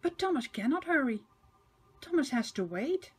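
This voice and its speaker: An older woman narrating a picture book for small children, expressive and emphatic with sing-song repetition. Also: sits high, normal speed. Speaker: storybook reader for children.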